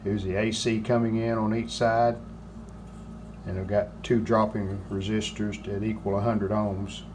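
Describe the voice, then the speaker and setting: An older man giving a hands-on repair walkthrough in plain-spoken, halting phrases. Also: lowish voice, little pitch movement, noisy background.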